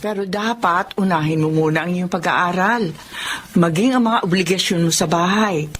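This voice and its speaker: A woman's voice: fairly low pitch, normal speed, a little hiss or hum, natural voice. A woman playing a caring but strict mother, speaking instructively in a Tagalog radio drama. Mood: gently lecturing.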